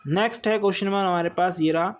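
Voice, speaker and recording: A man's voice: sits high, fast talker. A man teaching an online exam-prep quiz lesson, asking each question and repeating the answer, with frequent "okay".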